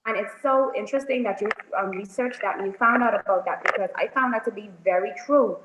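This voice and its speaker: A woman, speaking softly and hesitantly as a guest on a video call. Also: mid-range voice, fast talker, background noise. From Bahamas. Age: 30s. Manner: soft-spoken, hesitant with frequent ums. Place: indoors, small room. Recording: roomy, dull phone audio.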